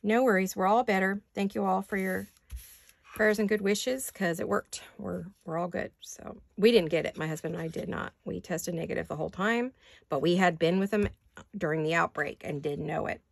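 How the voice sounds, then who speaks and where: An older woman with a raspy voice chatting casually in her home craft studio, rambling and thinking aloud as she works. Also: on the low side, normal speed, dry recording. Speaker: craft vlogger. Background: neighborhood garbage-day banging.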